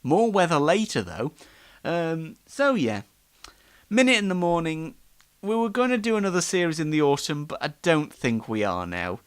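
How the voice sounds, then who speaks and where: A man's voice: fairly high pitch, sing-song, moderate pace, clean tone. A man hosts an online show in a casual, rambling, self-deprecating live monologue.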